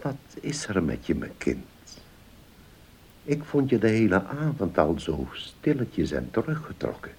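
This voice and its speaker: A kindly older uncle with a very low voice and swooping intonation. He speaks slowly and with concern, in radio drama style. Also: sing-song, a little mellow, exaggerated voice. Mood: concerned, gentle. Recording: noisy old radio play recording.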